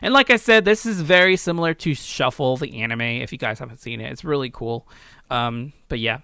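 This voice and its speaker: A man's voice: medium pitch, fairly quick, vocal fry, pushed and bright, smooth, dry recording, clean studio sound. A man giving casual commentary on his own game as its creator, informal and rambling, often correcting himself. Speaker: game creator and video host.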